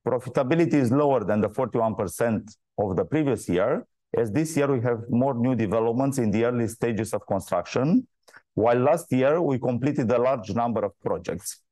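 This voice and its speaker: A man in the role of a company executive reads a prepared financial presentation in a measured, formal manner. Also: mid-range voice, lively intonation, mellow, no echo. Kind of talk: scripted earnings call presentation.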